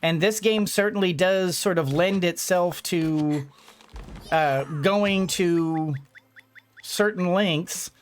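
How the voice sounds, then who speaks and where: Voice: mid-range voice, slow, slight background noise. A gaming streamer giving casual commentary, rambling and thinking aloud during play.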